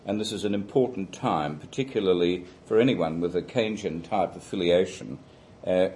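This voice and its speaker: A man, giving an academic seminar lecture as a guest speaker into a microphone. He talks loudly and deliberately, with frequent ums and uhs. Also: deep voice, slight background noise. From Australia. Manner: loud, deliberate, hesitant with many fillers. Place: seminar room at a college.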